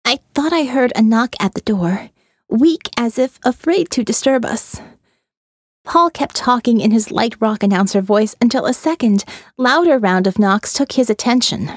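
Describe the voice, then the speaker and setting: A woman's voice: on the high side, expressive, moderate pace, no room sound, clean studio sound. A woman reading a first-person audiobook in a wry, conversational way. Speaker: audiobook narrator.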